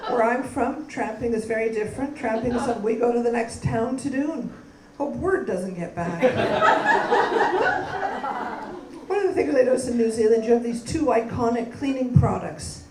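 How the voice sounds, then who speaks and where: Woman stand-up, from Canada, deadpan, lively pitch, roomy club, heard from a distance, crowd laughing. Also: unhurried, very noisy. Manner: deadpan punchlines, bantering with the crowd. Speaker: stand-up comedian. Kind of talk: stand-up comedy set. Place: comedy club stage.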